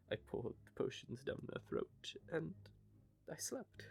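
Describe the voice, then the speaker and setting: A man playing a young knave who tells his story in a wry, rambling way, with dark humour. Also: fairly high pitch, gravelly, husky, heavily acted. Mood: grieving. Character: young male knave, wry, haunted by grief. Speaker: confessing rogue. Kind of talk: hobby audio drama monologue.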